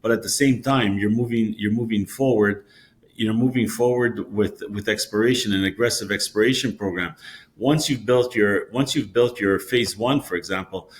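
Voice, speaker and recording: Halting, low-voiced company executive man. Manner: halting, many repeated words and fillers. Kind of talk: investor interview answers.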